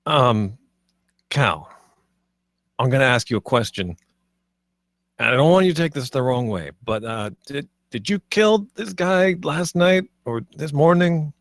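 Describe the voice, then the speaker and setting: Cartoon straight man, twenty-eight male reader: unhurried, hesitant, deadpan, close dry sound. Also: medium pitch, no echo. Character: hesitant, reasonable 28-year-old man, deadpan roommate. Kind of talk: animated comedy script table read.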